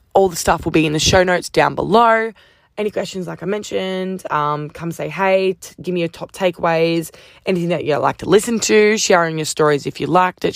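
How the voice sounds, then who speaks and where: A woman hosting a casual solo podcast, chatty and upbeat, swearing casually, her voice croaky from talking all day. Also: deep voice, dry recording, studio quality. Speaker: podcast host.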